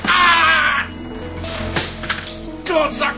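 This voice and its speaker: An actor playing a man who shouts and strains as he struggles with an intruder in a radio drama. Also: extremely high pitch, steady tone, very slow, vocal fry, loud music behind the voice, exaggerated voice. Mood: struggling.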